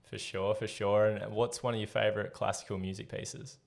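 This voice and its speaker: A man's voice: on the low side, flat delivery, normal speed, gravelly. A man hosting a casual podcast interview, upbeat and encouraging. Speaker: podcast host.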